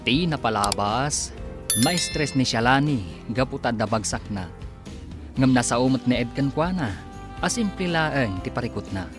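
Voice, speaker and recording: Casting: male radio serial narrator, Ilocano accent, lively swooping delivery over music. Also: medium pitch, a little slow. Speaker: radio drama announcer and narrator. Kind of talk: dramatic scripted narration.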